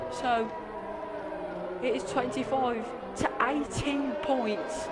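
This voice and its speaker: A man commentating a sim racing league with excitement, his voice strained and fading. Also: heavy background noise. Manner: excitable, voice climbing high at big moments. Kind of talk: excited live race commentary. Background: music.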